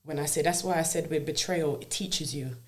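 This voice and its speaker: A woman's voice: low voice, brisk. A woman from Jamaica, a podcast guest telling her own life story in an earnest, reflective way, often checking in with "you know what I mean". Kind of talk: personal life story in a casual interview.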